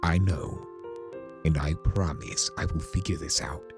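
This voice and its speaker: A man playing an immortal trickster creature, awkward, tender and teasing, with hesitant dramatic pauses in a fan audio drama. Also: very deep voice, fairly even intonation, gravelly, heavily acted. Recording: music behind the voice.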